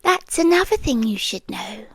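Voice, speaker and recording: A British woman playing a possessive, adoring vampire girlfriend in an ASMR roleplay, speaking softly and breathily and often slipping into a whisper. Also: fairly high pitch, very animated, normal speed, no room sound, full character voice. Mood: obsessive, adoring. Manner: soft, breathy, often dropping into whispers, coaxing. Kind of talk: ASMR roleplay, slow intimate delivery with whispering.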